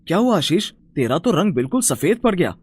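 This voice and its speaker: Schoolboy for animated tale, lively, complaining, conversational, highish pitch. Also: male voice, a little fast, husky, full character voice. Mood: fretful banter. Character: schoolboys, one fretful, one teasing.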